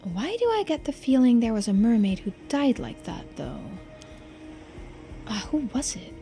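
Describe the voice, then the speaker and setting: A woman's voice: fairly high pitch, wildly swooping pitch, measured pace, warm tone, soft background music, exaggerated voice. A woman voicing a long-lived, wistful keeper of mermaid lore in a game, explaining in a musing way.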